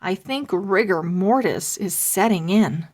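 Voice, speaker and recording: A woman reads as a chatty storybook dad. The delivery is mild and explanatory, with lively intonation. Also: mid-range voice, unhurried. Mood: easygoing. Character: chatty, easygoing father on a family outing.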